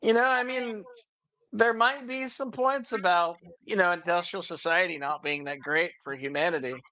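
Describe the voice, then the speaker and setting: Casual American male podcast talker, crisp forward tone in a dry space, slightly high, opinionated and halting. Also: pushed and bright, dry recording.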